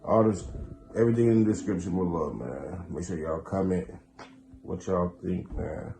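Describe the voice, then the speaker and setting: An American man with a fairly low voice hosts a prank video. He talks casually and slangily, in a loose vlog style. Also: moderate pace, noisy background. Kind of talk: casual vlog chat and reactions.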